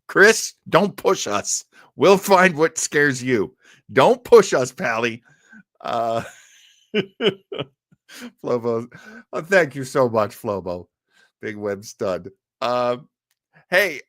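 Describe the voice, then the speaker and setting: A man's voice: mid-range voice, fairly bright tone, dry recording, studio quality. A man hosting a livestream in a chummy, joking, rambling way as he chats casually with viewers. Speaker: livestream host. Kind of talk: casual live chat with viewers.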